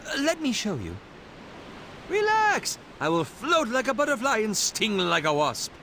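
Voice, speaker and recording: A man's voice: high voice, huge pitch swings, a little slow, pushed and bright, slight background noise, full character voice. A man voicing a cocky, hapless martial arts student in a video game, boastful and melodramatic. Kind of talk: video game character acting.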